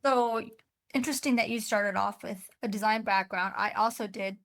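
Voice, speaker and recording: A woman's voice: medium pitch, steady tone, moderate pace, a bit bright, no room sound, clean studio sound. A woman hosting an interview, asking questions in an upbeat, welcoming way. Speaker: podcast host.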